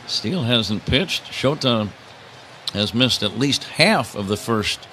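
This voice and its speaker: A male radio play-by-play man calls baseball in a bright, forceful voice that sits a little low. His delivery swings widely in pitch as he follows the action, with steady outdoor ballpark ambience behind him. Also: slow, slight background noise. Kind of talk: live radio play-by-play commentary.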